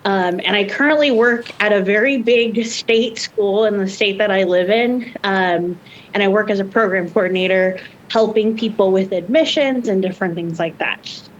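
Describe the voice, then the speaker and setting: A young woman from Indonesia speaks as a podcast guest in a mid-pitched voice. She talks casually and a bit hesitantly, with a faint rumble behind her. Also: moderate pace, lo-fi sound. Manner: hesitant, many fillers and restarts.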